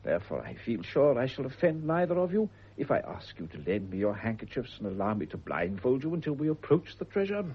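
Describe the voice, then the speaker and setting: Very husky british government official, formal and ceremonial, voice moves around a lot, old radio drama sound. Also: male voice, medium pitch, sing-song, fairly quick, hardly any pauses, lo-fi sound. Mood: formal, solemn. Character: formal, courteous Crown official reading an archaic document.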